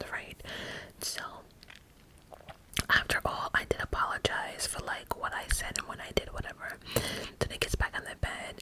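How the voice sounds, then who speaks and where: A woman in her forties telling a frank, self-mocking story in a steady whisper, full of asides. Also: low-quality recording. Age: 40s. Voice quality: unvoiced whisper throughout. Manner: candid, frank, laughs at herself, many asides.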